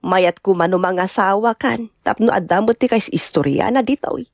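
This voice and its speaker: A woman's voice: mid-range voice, moderate pace, vocal fry, no room sound, studio quality. A woman with an Ilocano accent playing a sorrowful, tender older mother in an audio drama. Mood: sad, worried. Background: music.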